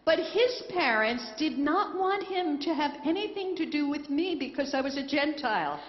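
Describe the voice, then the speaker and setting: Lively high-voiced woman in an echoey hall. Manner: animated storyteller, pauses for interpreter. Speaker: speaker giving a faith testimony. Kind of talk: testimony talk with live interpretation. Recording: echoey hall, dull sound.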